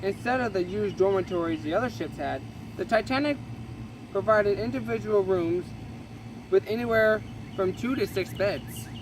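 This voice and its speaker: A man with a high-pitched voice reads from a history book, tripping over words and correcting himself as he goes. His delivery is casual and unpolished. Street and car noise can be clearly heard behind him. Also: normal speed. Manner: casual, stumbling reading with self-corrections. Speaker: reader. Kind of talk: reading a history book aloud with asides.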